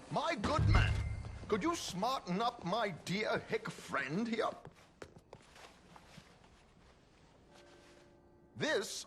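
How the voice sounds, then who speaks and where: Flamboyant, high-voiced gentleman schemer. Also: background noise, full character voice. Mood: breezy, teasing. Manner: theatrical, florid, grandly charming. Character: flamboyant, scheming, cultured gentleman con man, breezily confident. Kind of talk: video game cutscene dialogue.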